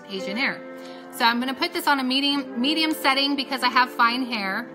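A woman in her thirties hosting a casual beauty live stream, chatting in a rambling way as she works. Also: fairly high pitch. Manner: chatty, rambling, talks while doing hair and makeup. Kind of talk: casual live tutorial chat.

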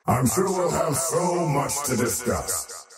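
An actor voicing an arrogant, menacing faceless void creature in a video game, with pompous, ominous and theatrical delivery. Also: male voice, a little high, sing-song, slow pace, distant mic. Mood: disdainful. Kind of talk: theatrical game character voice lines. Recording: roomy reverb over background music.

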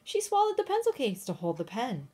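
A woman reading a children's picture book aloud in an expressive, sing-song, emphatic way, with chatty asides to young listeners. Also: a little high, fairly quick, breathy edge. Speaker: read-aloud storyteller.

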